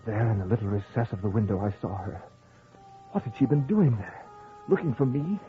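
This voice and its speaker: A man's voice: fairly low pitch, sing-song, fairly quick. An actor playing a haunted, grieving husband who narrates his ghostly tale in dramatic old-time radio horror style. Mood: uneasy. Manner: dramatic, theatrical delivery. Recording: tinny old radio broadcast with music.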